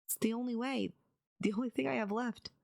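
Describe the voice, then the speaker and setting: A woman playing a despairing, lovesick yandere kidnapper in an intimate audio roleplay, halting and pleading, often slipping into half-whispers. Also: fairly high pitch, gravelly, darkish tone, no echo, studio quality. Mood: resigned, bewildered. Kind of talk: intimate ASMR-style dramatic audio roleplay.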